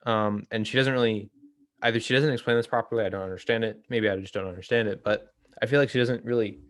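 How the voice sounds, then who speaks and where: A man with a fairly low voice leads an informal theory read-along. He explains things hesitantly and corrects himself as he goes. The sound is dry, with very little room in it. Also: fairly even intonation, fast talker, dry recording. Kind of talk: informal book discussion.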